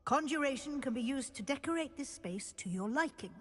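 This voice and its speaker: An actress playing a composed, encouraging middle-aged professor of magic in a video game, speaking in a measured, formal, instructive way. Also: female voice, high voice, expressive. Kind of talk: scripted video game dialogue. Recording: long hall-like reverb.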